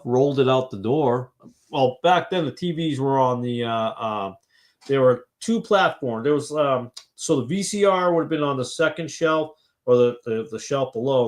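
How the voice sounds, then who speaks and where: A man in his fifties from Massachusetts hosting a casual online fellowship chat, rambling and thinking aloud with lots of fillers while he responds to viewers' comments. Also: mid-range voice. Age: 50s. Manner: reacts to viewers' comments as he goes. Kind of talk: casual livestream group conversation.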